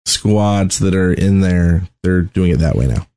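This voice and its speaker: Lower-voiced, informal man on a podcast. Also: measured pace, mellow. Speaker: podcast co-host. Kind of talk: casual gaming podcast chat.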